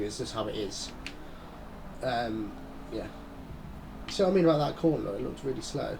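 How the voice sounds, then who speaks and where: A man's voice: mid-range voice, creaky, noisy background. Stuffy-nosed man chatting over gameplay. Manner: rambling, chatty, muttering asides. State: stuffy nose from a head cold, mouth-breathing. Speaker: gaming channel host. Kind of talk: casual gameplay commentary.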